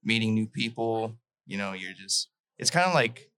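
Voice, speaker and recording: A man's voice: fairly low pitch, animated, normal speed, fairly bright tone, no echo. A laid-back actor chatting casually, tossing in "bro" and "man.". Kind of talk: casual chat.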